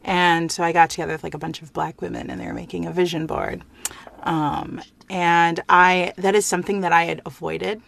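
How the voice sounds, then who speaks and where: A woman's voice: on the low side, little pitch movement, a little fast, vocal fry, a bit bright. A woman, a guest in a casual chat, talking candidly with many fillers. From United States.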